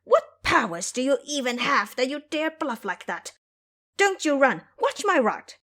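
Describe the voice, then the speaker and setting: A woman voicing a brash, fiery monkey warrior in an audio drama, with a taunting, forceful manner. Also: high-pitched, big pitch swings, unhurried, voice pushed up, exaggerated voice. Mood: furious, defiant.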